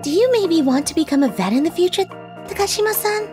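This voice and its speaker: A woman playing a friendly, gentle junior high school girl in an animated manga story. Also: a little fast, music in the background. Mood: sweet, cheerful.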